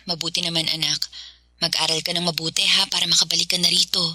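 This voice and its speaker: A woman's voice: fairly low pitch, flat delivery, fast, background noise, natural voice. A woman playing a doting, apologetic middle-aged mother in a Filipino radio drama. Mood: tender, apologetic.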